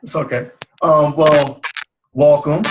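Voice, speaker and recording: A man's voice: fairly high pitch, fairly even intonation, takes it slowly, noisy background. A young man leading a video call meeting as team leader, casual and filler-heavy. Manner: casual, many fillers and agreeing murmurs.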